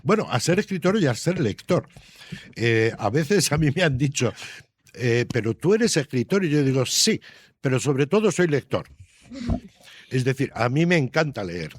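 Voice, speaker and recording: A man's voice: mid-range voice, sing-song, normal speed, dry recording. An older man with a Castilian Spanish accent answers interview questions as a children's author, explaining things conversationally with frequent tag questions.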